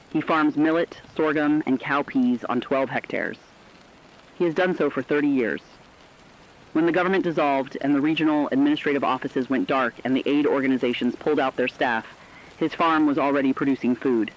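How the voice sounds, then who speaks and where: A man reading a scripted human-interest news report in a plain, measured storytelling manner. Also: medium pitch, dull audio. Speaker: radio feature reporter.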